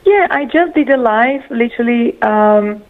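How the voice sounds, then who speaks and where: A woman's voice: a little high, animated, measured pace. A woman from Slovakia, a guest speaking over a call, enthusiastic and laughing often. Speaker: guest, live show host. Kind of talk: casual interview on a call. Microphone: remote call. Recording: phone-like remote call line.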